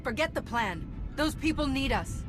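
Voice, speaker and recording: A woman's voice: high voice, lively intonation, moderate pace, music in the background. A woman playing a poised, kind and commanding heroine in a sci-fi game, composed and decisive.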